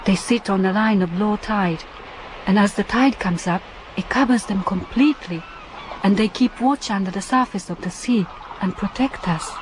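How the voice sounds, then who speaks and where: A woman's voice: mid-range voice, moderate pace, slight background noise, natural voice, poor audio. An actress playing a young Japanese island diving woman in a radio drama, earnest and practical, with careful, formal phrasing. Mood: grave, earnest.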